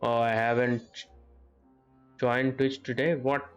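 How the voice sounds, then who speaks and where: A man live streaming a game, chatting casually and haltingly as he comments. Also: mid-range voice, level tone, soft background music. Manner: halting, repeats the game dialogue. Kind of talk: casual gameplay commentary and chat.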